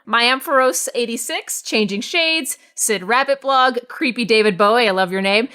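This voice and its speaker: A woman's voice: a little high, moderate pace, bright, forceful tone, clean tone. A woman co-hosting a podcast, chatting informally and reading out thanks, sometimes sweary. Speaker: podcast co-host. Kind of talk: casual chat, reading thank-you lists.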